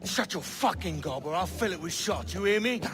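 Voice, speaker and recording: An actor playing a brash, roguish young Welsh pirate in a video game, cheeky and rough-spoken. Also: male voice, high voice, animated, normal speed, background noise. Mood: angry, threatening. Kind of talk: video game dialogue acting.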